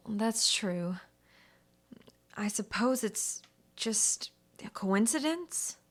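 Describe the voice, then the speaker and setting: A woman's voice: mid-range voice, expressive, drawn-out words, a bit bright, full character voice. A woman playing a frightened, jittery wife in a radio horror drama, anxious and full of doubt. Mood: hushed worry.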